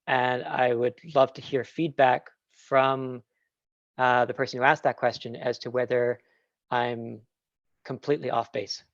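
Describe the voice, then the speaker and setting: A man's voice: mid-range voice, level tone, measured pace, creaky, dry recording, dull audio. A Canadian man answering questions after a tech talk, thinking aloud with fillers and small laughs over a video call. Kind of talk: casual Q&A answers.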